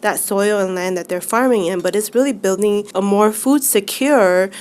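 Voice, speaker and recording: A woman's voice: mid-range voice, moderate pace, a little mellow, smooth. A woman, speaking conversationally as an interview guest. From United States, raised by immigrant parents from Laos.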